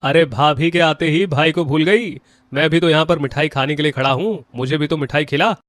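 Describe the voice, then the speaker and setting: A male actor plays a teasing, demanding man of the household in a moral tale. His pitch sits a little high for a man. He banters and mock-complains in a dry, close recording. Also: a little fast, a bit bright, no room sound, lightly acted. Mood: teasing complaint. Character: demanding, teasing men of an Indian family. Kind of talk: moral-story character acting.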